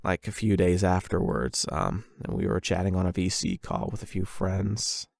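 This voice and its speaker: A male performer plays a bashful young man opening up to his online friend in an intimate audio roleplay. His voice sits low with a crackly fry, and he talks hesitantly, circling his point nervously. The sound is dry and close. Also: lively intonation, moderate pace, darkish tone, dry recording. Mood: sheepish, earnest. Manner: hesitant, rambling, nervous chuckles. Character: shy, flustered young man confessing love to online friend. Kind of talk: ASMR boyfriend roleplay, intimate monologue.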